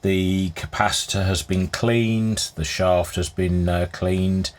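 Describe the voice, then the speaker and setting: A man's voice: deep voice, fairly even intonation, slow pace. A man giving a hands-on repair walkthrough in a methodical, matter-of-fact, step-by-step way. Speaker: hobbyist restorer presenting. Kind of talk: hands-on repair narration, semi-scripted demonstration.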